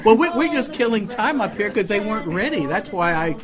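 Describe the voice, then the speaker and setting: A man's voice: high voice, very animated, brisk. A man hosting club entertainment in a casual, joking way. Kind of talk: casual emceeing. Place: restaurant luncheon gathering. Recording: restaurant crowd behind voice.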